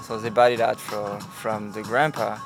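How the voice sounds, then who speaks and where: A man in his forties from Belgium with a Belgian French accent, a podcast guest talking in halting, repetitive casual speech and laughing often. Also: on the low side, level tone, gravelly, over music. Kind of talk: casual interview chat.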